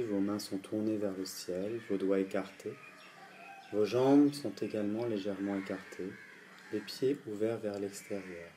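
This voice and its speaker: A man with a fairly low voice leads a guided relaxation. He speaks calmly and gently, placing each instruction deliberately and leaving pauses. Faint night insects and natural sounds sit behind his voice. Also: slight background noise. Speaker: yoga nidra guide. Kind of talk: guided meditation. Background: crickets and insects at night.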